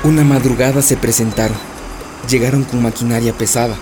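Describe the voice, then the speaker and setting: A woman playing an anguished Amazonian villager, telling her story gravely and with grievance in a radio drama. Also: a bass voice, fairly even intonation, a little fast, loud music behind the voice.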